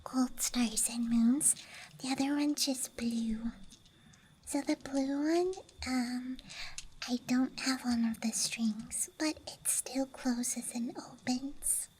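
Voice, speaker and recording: Slow, whispering young-sounding woman, high soft voice. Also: steady tone, slight background noise, low-quality recording. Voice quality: breathy whisper, mostly unvoiced. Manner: soft, hushed, gentle rambling. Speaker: ASMR streamer. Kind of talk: whispered ASMR, rambling show-and-tell. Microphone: close microphone. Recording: night nature ambience, clicking and rattling sounds. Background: night campground ambience, dice and case clicks.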